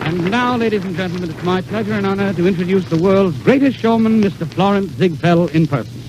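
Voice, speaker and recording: A man's voice: high voice, a little fast, background noise. A man hosting a radio show, delivering florid scripted narration in a grandiose, theatrical 1930s manner. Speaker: radio announcer and host. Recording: muffled 1930s radio broadcast, faint music.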